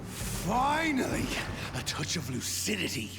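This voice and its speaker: A monstrous, contemptuous vampire in a video game. Every word scrapes through thick gravel, rising and plunging with grandiose menace. Loud music surges behind the threats. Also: male voice, high-pitched, huge pitch swings, measured pace, exaggerated voice. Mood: sardonic. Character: ferocious, contemptuous male vampire hunting in sewers.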